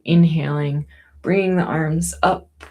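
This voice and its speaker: A woman teaching yoga and breathing, giving gentle, soothing, unhurried encouragement as she guides each movement. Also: low voice, fairly even intonation.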